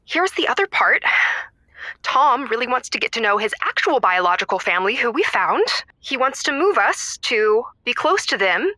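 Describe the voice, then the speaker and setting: A woman acting as a snobbish wife calling a comedy radio show. Her voice is fairly high and bright, confiding in halting, emphatic phrases, with a very dry, close sound. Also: sing-song, no echo. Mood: awkward, confiding. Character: status-conscious, snobbish wife and mother, confiding caller. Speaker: caller. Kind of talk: improvised comedy call-in.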